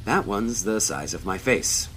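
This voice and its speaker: A man's voice: lowish voice, lively intonation, a little slow, a bit bright, a little hiss or hum. An actor playing an uneasy, hesitant man in a space audio drama, speaking naturally and wryly.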